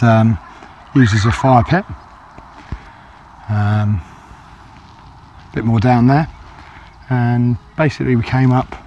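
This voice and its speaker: A man with a slightly croaky voice narrates his hill walk into a handheld camera. He wanders from thought to thought, filling gaps with ums and uhs. His pitch sits mid-range, and a faint hiss of open air runs beneath him. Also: a little mellow. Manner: rambling, hesitant with many fillers. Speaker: walking vlogger. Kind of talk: casual hiking commentary to camera. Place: outdoors on open grassy hillside. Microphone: handheld camera held by the walker.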